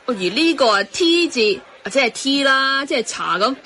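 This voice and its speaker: A woman's voice: sits high, big pitch swings, moderate pace, bright, forceful tone, a little hiss or hum, exaggerated voice. A woman acting a sensible, practical restaurant colleague in a Hong Kong Cantonese comedic radio drama. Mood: curious.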